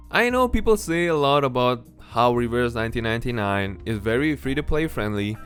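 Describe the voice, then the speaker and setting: A man narrating a video game review, mostly scripted, in a blunt, conversational manner. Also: medium pitch. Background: background music.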